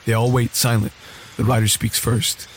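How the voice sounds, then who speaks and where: A man's voice: mid-range voice, level tone, normal speed, slight background noise, low-quality recording. A man narrating a scripted horror western audio drama.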